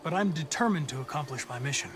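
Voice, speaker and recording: An actor plays an earnest young male android investigator in a game drama. His voice sits a little high for a man, with formal, precise, controlled phrasing. Loud music runs behind it. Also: animated, fast talker, lightly acted. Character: polite, determined young male android detective. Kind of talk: dramatic video game acting.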